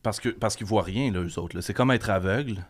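A man with a Québécois French accent, co-hosting a podcast and pressing the guest with mock-stern questions. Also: on the low side. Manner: teasing, mock-pushy questions, fast asides. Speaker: podcast co-host. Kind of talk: joking casual chat.

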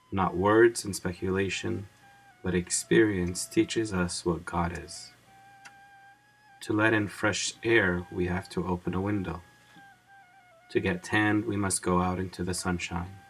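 A man reading a devotional audiobook as narrator, in a measured and solemn manner. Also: low voice, breathy edge, poor audio.

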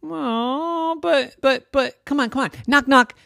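A woman's voice: sits high, slow pace, vocal fry, sharp tone, no room sound, studio quality, voice pushed up, exaggerated voice. A woman voicing an eager, pestering little sister with insistent, repetitive pleading.

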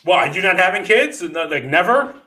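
A man's voice: sits high, sing-song, moderate pace, pushed and bright. A man in his fifties hosting a casual podcast chat, rambling and profane, with lots of fillers. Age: 50s. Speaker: podcast host.

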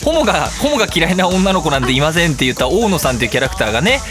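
A man hosts a casual internet radio show in a high, very lively voice. He talks fast and rambles with geeky enthusiasm, dropping into little acted-out quotes and exclamations. Music plays behind him.

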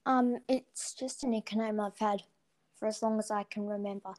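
A woman's voice: medium pitch, fairly even intonation, normal speed, darkish tone. Hesitant young woman gamer on a cheap mic. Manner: hesitant, many ums and trailing sentences. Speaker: interview guest, gaming YouTuber. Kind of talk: casual chat. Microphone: cheap built-in mic.

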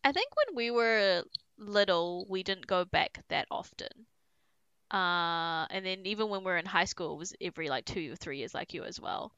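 A woman's voice: fairly low pitch, animated, moderate pace, pushed and bright, smooth, no room sound, dull audio. A young woman chats easily with a friend as host. Her voice swings up and down a lot, and she scatters fillers and laughs through her talk. The sound is close and dry. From New Zealand. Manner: chatty, full of "like" fillers, laughs often. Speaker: podcast host. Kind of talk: casual chat with a friend.

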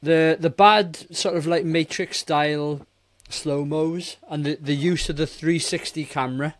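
A man in his forties with a slightly high voice co-hosts a film review chat. He talks casually and gives blunt opinions. His manner is exasperated and sweary. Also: fairly even intonation, measured pace. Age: 40s. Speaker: film review podcast host.

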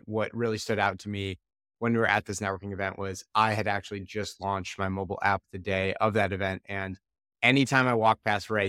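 A man's voice: on the low side, a bit bright, clean tone, no room sound, clean studio sound. A man in his thirties hosting a podcast, talking in an upbeat, fluent way as he introduces and questions his guest. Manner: upbeat, fluent, fond of intensifiers. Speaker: podcast host.

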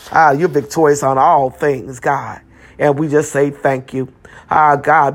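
Fervent prayer leader with a mid-range voice. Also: medium pitch. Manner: repetitive, exclamatory, punctuated with praise interjections.

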